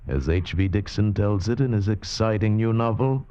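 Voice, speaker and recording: Guy doing a solemn old-time radio thriller announcer, dark mellow voice, muffled sound. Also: lowish voice, normal speed, clear, resonant tone, close to an everyday voice. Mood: ominous. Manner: solemn, portentous. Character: grave, ominous announcer of a crime thriller. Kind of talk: 1940s radio announcing, dramatic scripted introduction. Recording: muffled vintage radio recording.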